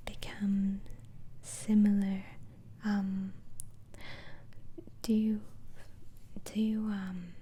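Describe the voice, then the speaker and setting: A woman whispers very slowly in a dark, soft, breathy voice. She plays an interviewing doctor in an ASMR role-play, putting gentle questions with halting pauses. There is clear noise in the recording. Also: mid-range voice.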